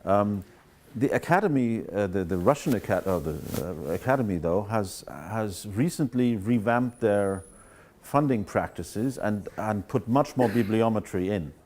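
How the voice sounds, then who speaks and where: Male lecturer, low and warm, thoughtful and unhurried in discussion. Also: expressive. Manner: thoughtful, searching for words. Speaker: guest speaker.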